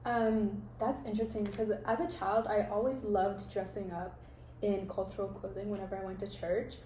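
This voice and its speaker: A woman's voice: medium pitch, little pitch movement, normal speed, dark tone, reverberant, slight background noise, low-quality recording. A young American woman hosting a casual chat, telling stories about her life in an earnest, reflective way. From United States. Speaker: host.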